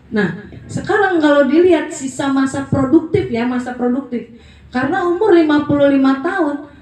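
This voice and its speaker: A woman's voice: high-pitched, darkish tone, echoey room, distant mic, poor audio. A woman in her forties giving a blunt, emphatic motivational talk in a casual, colloquial way. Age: 40s. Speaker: network marketing presenter.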